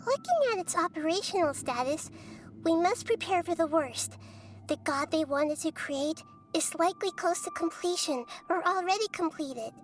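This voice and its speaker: A woman voicing a small, childlike goddess in a video game, wise, calm and curious, speaking in measured, thoughtful, formal phrases. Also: very high-pitched. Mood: grave. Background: game music.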